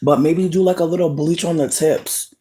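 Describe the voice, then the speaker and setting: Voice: mid-range voice, normal speed, slight background noise. Sassy streamer, chatting while snacking. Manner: sassy, expressive, swears freely. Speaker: livestreamer. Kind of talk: casual livestream chat with viewers.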